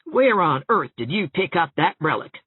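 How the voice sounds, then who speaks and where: Woman putting on a crabby, husky older countrywoman. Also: fairly low pitch, sing-song, moderate pace, no room sound, narrow, tinny audio. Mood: scornful. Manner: folksy, scolding. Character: blunt, crabby older countrywoman, easily affronted.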